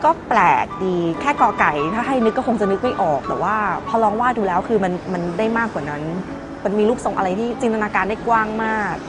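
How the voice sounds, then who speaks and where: A woman's voice: mid-range voice, lively intonation, fast, music in the background. A woman talking casually as a parent being interviewed.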